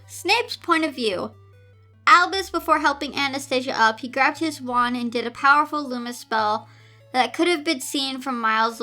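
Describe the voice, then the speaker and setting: Woman, bright high voice, reads stories aloud with exasperated, sarcastic commentary; music underneath. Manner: theatrical, incredulous, sarcastic asides. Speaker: host reading fan fiction aloud with commentary.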